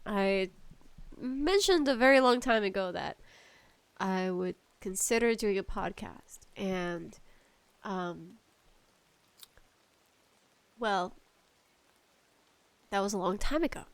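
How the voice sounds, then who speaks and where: A woman chatting casually as a solo podcast host, nervous, halting and apologetic, with allergies bothering her. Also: medium pitch, animated, clean tone, no echo. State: allergies acting up. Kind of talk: casual solo podcast chat about games and tea.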